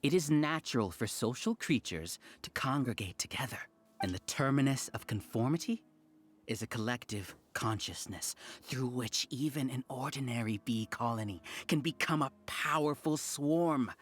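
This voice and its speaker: An actor playing a smug, grandiose scholar villain who leads a cult-like hive, theatrical and condescending in a video game. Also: male voice, mid-range voice, expressive, normal speed, natural voice.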